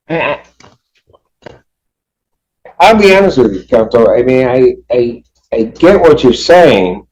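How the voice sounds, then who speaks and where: A man speaking as a judge from the bench, conversational and plain-spoken, often halting as he reasons aloud. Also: medium pitch, a little mellow, poor audio. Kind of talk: spontaneous courtroom remarks from the bench.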